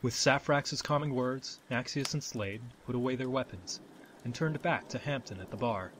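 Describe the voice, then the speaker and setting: A man narrating a scripted fantasy story as the storyteller, with dramatic, deliberate phrasing. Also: medium pitch, a bit bright, slight background noise.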